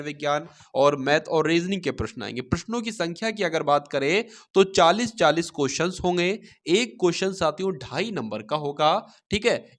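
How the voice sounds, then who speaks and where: A man's voice: on the high side, fairly bright tone. A man giving instructional exam news, speaking directly to his viewers as a channel host. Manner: instructional, repeatedly addressing viewers as companions. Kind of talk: informational talk to exam aspirants.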